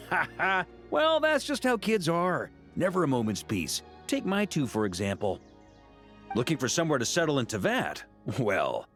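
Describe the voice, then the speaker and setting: A seasoned, hard-drinking grandmaster of knights in a fantasy video game. He is talkative and good-humored, with a mid-pitched male voice that rises and falls very freely. Faint music plays behind him. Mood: jovial, persuasive. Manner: chatty, theatrical, good-humored. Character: jovial, talkative middle-aged knight grandmaster and veteran drinker. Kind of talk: scripted game character dialogue.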